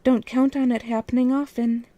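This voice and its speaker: A woman's voice: fairly high pitch, little pitch movement, moderate pace, warm tone, dry recording, pitched above the performer's own voice, close to an everyday voice. A woman voicing a sweet, eager, mischievous young female animatronic fox in a story reading. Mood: smirking. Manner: eager, squealing, sweet-toned.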